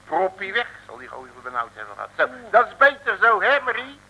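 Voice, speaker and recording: Brash, very bright-voiced excitable radio detective. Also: male voice, high voice, moderate pace, breathy edge, heavily acted. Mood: hearty, wry. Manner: blustery, slangy, stammering repeats when excited. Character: brash, excitable male detective, slangy rough diamond. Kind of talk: 1950s comic radio serial acting. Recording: old noisy radio recording.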